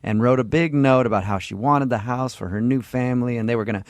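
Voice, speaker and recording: A man's voice: mid-range voice, fast talker, no echo. A man hosting a morning radio show with casual, comedic, teasing banter. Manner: joking, teasing. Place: radio studio.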